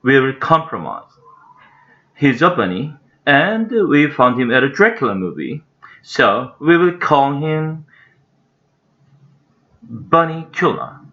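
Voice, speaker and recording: A man voicing a calm, firm mother who speaks in a measured way. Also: medium pitch, voice pushed up, full character voice. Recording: dull lo-fi recording.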